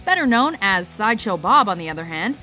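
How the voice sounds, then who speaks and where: A woman's voice: mid-range voice, sing-song, fairly quick, bright, forceful tone, soft background music. A woman reading scripted narration as the presenter of a countdown video.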